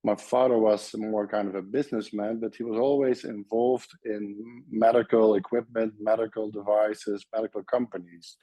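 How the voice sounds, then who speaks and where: A man's voice: lowish voice, flat delivery, moderate pace, few pauses, dark tone, no room sound, low-quality recording. A Dutch-accented man from the Netherlands, a surgeon guest in a casual interview, speaking friendly English with frequent restarts.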